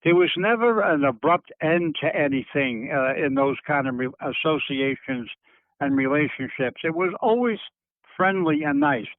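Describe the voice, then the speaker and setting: Older male interviewee, slightly high-pitched, hesitant and rephrasing as he reflects, thin telephone sound. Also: animated, no room sound. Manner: halting, circling and rephrasing, searching for words. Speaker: interviewee recalling family history. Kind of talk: reflective phone interview talk. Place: on a telephone call. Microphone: telephone handset. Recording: tinny narrowband phone line.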